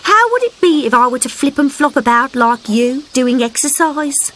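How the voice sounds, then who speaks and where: A woman's voice: sits high, animated, moderate pace, a bit bright, slight background noise, pitched above the performer's own voice. A woman voicing a lazy, plump mother frog who scolds her child wearily. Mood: scolding. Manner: weary and scolding.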